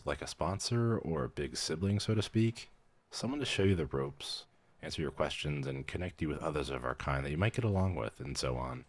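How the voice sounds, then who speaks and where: Guy acting a friendly tired vampire mentor, super low voice, swoops around a lot, dry office-chat roleplay, bit hesitant. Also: exaggerated voice. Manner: hesitant, conversational, dryly professional turning casual. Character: weary, friendly young vampire mentor, male onboarding clerk. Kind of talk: roleplay audio, conversational acting.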